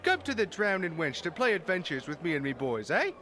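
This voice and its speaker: High-voiced, mocking tavern keeper. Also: male voice, sing-song, brisk, pushed and bright. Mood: jeering, flirtatious. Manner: folksy, mocking pirate-style patter. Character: jovial, leering tavern keeper in a port town. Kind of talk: fantasy game fan dub, theatrical.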